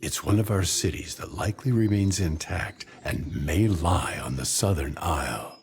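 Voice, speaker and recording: An actor playing an earnest, resolute ancient non-human male being in a fantasy game, speaking formally in gravelly measured phrases. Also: sits low. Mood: earnest, thoughtful. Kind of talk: fantasy game cutscene acting.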